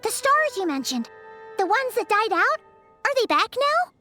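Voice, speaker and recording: An actress playing a tiny, excitable fairy-like companion who talks about herself in the third person, bubbly and boastful. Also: female voice, unhurried, faint music behind, exaggerated voice. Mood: cheerful. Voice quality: very high and chirpy. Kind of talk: video game voice acting.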